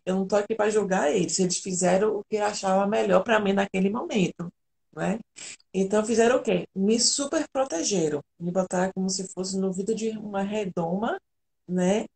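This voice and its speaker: A woman from Argentina speaks as a guest in a live online interview, her voice carrying a noticeable gravelly fry. She tells her own story in an earnest, open way, with a pitch that sits in the middle for a woman. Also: a little mellow. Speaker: guest, integrative therapist.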